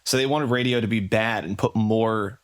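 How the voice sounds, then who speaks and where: Man, fairly low pitch, informal explanatory chat, halting delivery.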